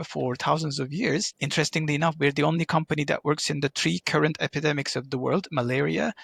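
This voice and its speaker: Man, middle-aged, from Turkey, gravelly, husky, explanatory with fillers. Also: fairly high pitch, a bit bright, dry recording. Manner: explanatory, frequent fillers and restarts. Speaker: guest, pharma digital manager. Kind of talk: interview answers.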